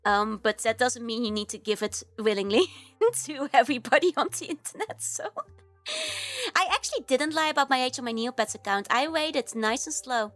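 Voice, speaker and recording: Dutch girl streamer, kinda high voice, giggles a lot, rambles w fillers, soft music behind. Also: animated, normal speed. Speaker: VTuber streamer. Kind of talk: casual livestream chat.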